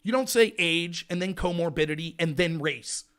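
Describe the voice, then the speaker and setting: Guy hosting a political livestream, high voice, loud and fired up, ranting hard. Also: moderate pace, clean tone. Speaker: livestream show host. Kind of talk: heated political commentary.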